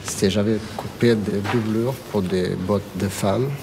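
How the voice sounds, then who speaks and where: Gravelly low-voiced man from Portugal. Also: steady tone. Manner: reflective, measured. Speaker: leather cutter. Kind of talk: documentary interview. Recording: noisy background.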